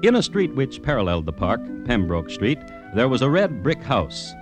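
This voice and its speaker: A man hosting and narrating an old radio crime series in a dramatic, deliberate, wry storytelling style. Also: medium pitch, sing-song, music in the background. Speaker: host and narrator.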